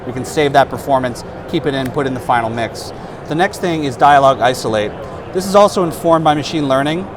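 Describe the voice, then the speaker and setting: A man in his thirties walks through a product demonstration at a trade-show booth, speaking casually with the odd filler. His lapel mic picks up a steady wash of hall noise around him. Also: medium pitch, a little fast. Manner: relaxed, explanatory, casual fillers. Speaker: product specialist demonstrating software.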